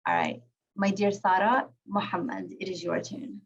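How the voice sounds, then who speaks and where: A woman's voice: medium pitch, big pitch swings, normal speed, muffled recording. A woman facilitating an online youth check-in, affectionate and encouraging, praising each young speaker in turn. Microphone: video call.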